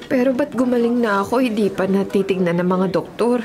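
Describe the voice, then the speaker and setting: A woman playing an anxious, ailing young mother in a Filipino radio drama, gentle and plaintive. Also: medium pitch, fairly quick, crowd noise, lightly acted. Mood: puzzled, gentle.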